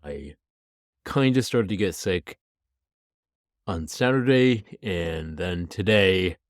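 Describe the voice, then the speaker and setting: A man's voice: low voice, lively intonation, slow, dry recording, clean studio sound. A man hosting a true-crime podcast in a chatty, apologetic way while sick with a stuffy, nasal voice. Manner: chatty and apologetic, with some restarts. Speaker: podcast host.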